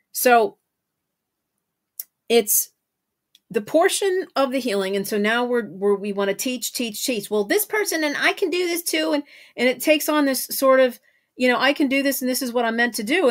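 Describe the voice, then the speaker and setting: Middle-aged female podcast host, medium pitch, off-the-cuff monologue, emphatic, repetitive for stress. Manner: emphatic, repeats phrases for stress. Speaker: podcast host and spiritual healing guide. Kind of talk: unscripted solo podcast monologue.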